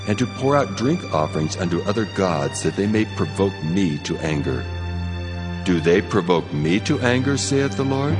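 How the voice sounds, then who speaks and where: A deep-voiced, wrathful God, rebukes his people with solemn authority. The delivery is commanding and declamatory, in the archaic language of a scripture drama, with music clearly underneath. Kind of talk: dramatized scripture reading, King James English.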